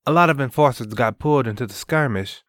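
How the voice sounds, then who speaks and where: A man voicing a blunt young man who speaks quickly and offhandedly. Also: mid-range voice, expressive, a bit bright, no room sound, clean studio sound, close to an everyday voice. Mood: matter-of-fact.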